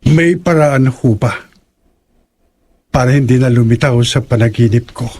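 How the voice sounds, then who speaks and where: A man's voice: mid-range voice, measured pace, a little mellow, close to an everyday voice. Horror audio drama lead: kindly young man male actor, average register, troubled by bad dreams. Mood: troubled, earnest.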